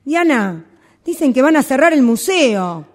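Woman playing indignant female employee, Rioplatense accent, fairly high voice, insistent, confrontational, radio drama. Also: sing-song, a little slow, darkish tone. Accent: Rioplatense Argentine Spanish. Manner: confrontational, pressing with questions. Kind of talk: amateur radio theatre acting.

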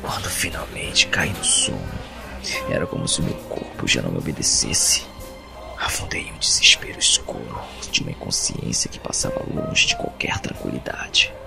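A man with a Brazilian Portuguese accent playing an anguished captive in an audio drama, narrating his imprisonment in a brooding, deliberate monologue. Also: a bass voice, wildly swooping pitch, creaky, heavily acted. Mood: haunted, subdued. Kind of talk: dramatic first-person monologue. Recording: music bed under the voice.

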